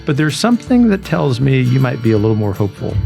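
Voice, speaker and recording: A middle-aged man hosting an interview show, speaking in a measured, persuasive way and often repeating a word before going on. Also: mid-range voice, very animated, vocal fry, mellow, faint music behind. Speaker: talk show host. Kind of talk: interview conversation.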